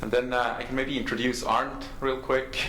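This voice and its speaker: A man giving informal, halting introductions at a company event, self-deprecating and full of fillers. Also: medium pitch, flat delivery, fairly bright tone. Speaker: company host introducing guests. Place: large conference room. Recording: echoey room, faint noise.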